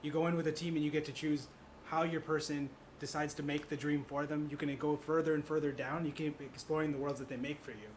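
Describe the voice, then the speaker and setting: A man speaking as a podcast guest, pitching ideas in casual conversation. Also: level tone, fast talker, a little hiss or hum.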